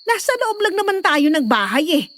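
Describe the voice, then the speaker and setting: A woman playing an excitable, superstitious, bossy nanny in a Filipino horror audio drama. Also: very high voice, fairly quick, a little hiss or hum, exaggerated voice. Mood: urgent, bossy. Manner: exclaiming, bossy, urgent.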